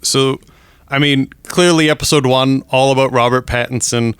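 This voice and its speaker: A man's voice: mid-range voice, steady tone, moderate pace, a bit bright, clean tone, no echo. A man co-hosting a casual podcast, meandering through his points with lots of restarts and laughs. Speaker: podcast co-host. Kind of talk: casual chat.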